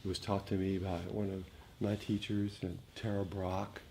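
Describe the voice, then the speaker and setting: A man giving a dharma talk as a meditation teacher, speaking reflectively and gently, with frequent pauses. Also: fairly low pitch, flat delivery, creaky, rough recording. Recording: noticeable background noise.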